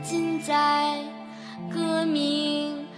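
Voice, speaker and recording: Very high woman singer, loud music. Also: completely flat delivery, extremely slow. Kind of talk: slow theme song singing.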